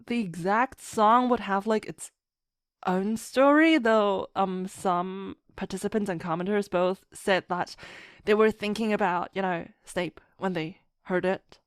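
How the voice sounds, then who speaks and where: A woman chatting casually as a podcast guest, telling stories in an animated way and acting out quotes. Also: mid-range voice, a little slow, clean tone, no room sound, studio quality. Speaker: podcast guest, fan musician and writer. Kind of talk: casual chat.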